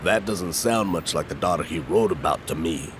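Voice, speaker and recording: A man acting in an audio drama as a gentle, wise older family friend with a measured, folksy manner. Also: deep voice, soft background music. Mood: gently consoling.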